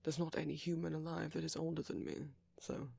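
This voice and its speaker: A man playing a friendly, flirty ancient vampire in an intimate ASMR roleplay, breathy and often near a whisper, with gentle, teasing, genteel phrasing. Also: fairly high pitch, flat delivery, a little fast, no echo.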